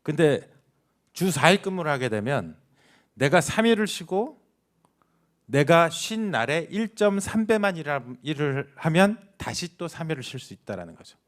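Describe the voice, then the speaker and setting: A man's voice: a little high, big pitch swings, moderate pace. Man presenting a company case study. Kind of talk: public presentation at a hearing, explanatory.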